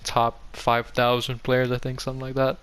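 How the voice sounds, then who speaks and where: Clear-voiced young man, rambling casually. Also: medium pitch, monotone, normal speed, fairly bright tone. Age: young adult. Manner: rambling, self-deprecating, offhand. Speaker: gaming video host. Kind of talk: casual game tour commentary. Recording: music behind the voice. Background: background music.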